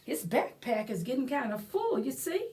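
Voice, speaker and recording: Woman, children's storytime reader, high voice, lively swooping intonation, unhurried, expressive, chatty asides to young listeners. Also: slight background noise. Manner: explains words and prompts young listeners. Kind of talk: children's picture book read-aloud with asides.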